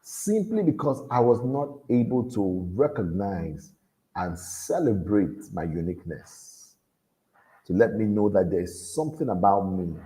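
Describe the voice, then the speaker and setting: Deep-voiced man exhorting. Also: very animated, a little slow, dark tone, rough recording. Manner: earnest, exhorting, repeats key lines. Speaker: motivational speaker at a youth event. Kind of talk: motivational talk.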